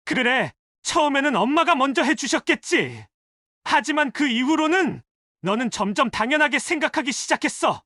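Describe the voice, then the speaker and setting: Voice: high-pitched, pushed and bright, no room sound, studio quality. An actor playing an indignant adult son scolding a spouse with pointed, accusatory questions in a dramatized family story. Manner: accusatory, rapid rhetorical questioning. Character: indignant adult son scolding his wife over his mother.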